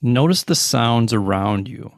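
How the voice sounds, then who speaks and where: A middle-aged man leading a guided meditation in a calm, measured way, leaving long pauses between slow phrases. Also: mid-range voice, no room sound, clean studio sound.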